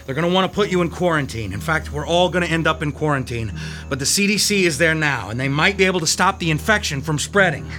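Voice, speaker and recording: An actor playing a calm, tough police detective who speaks in a steady, commanding way, in an old radio drama. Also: male voice, fairly high pitch, fast. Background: music.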